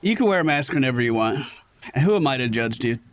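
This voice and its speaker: A man streaming a game, chatting excitably to his viewers and swearing casually. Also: medium pitch, fast talker, dry recording. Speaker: gaming streamer. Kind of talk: casual let's play commentary.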